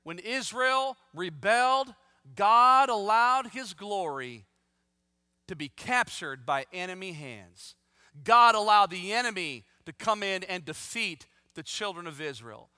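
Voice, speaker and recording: A man's voice: high voice, expressive, a little slow, sharp tone, clean tone, dry recording, studio quality, long reverb tail. A man in his fifties preaching a sermon, reading scripture aloud and then exhorting his listeners with rising, emphatic force. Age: 50s. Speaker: pastor.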